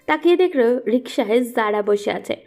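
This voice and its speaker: A woman's voice: fairly high pitch, animated, moderate pace, darkish tone. A woman narrating a scripted romantic audio story.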